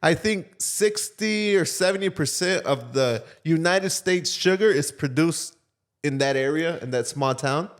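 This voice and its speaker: A man's voice: a little high, lively intonation, measured pace. A young man from Florida co-hosting a casual podcast, joking and laughing while he restarts the intro. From Belle Glade, Florida. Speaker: podcast co-host. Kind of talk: casual chat.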